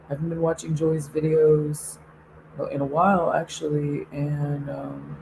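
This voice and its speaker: A woman's voice: sits low, flat delivery, a little slow, vocal fry, background noise. A woman putting on a fake, overly cheerful male video host in a mocking singsong.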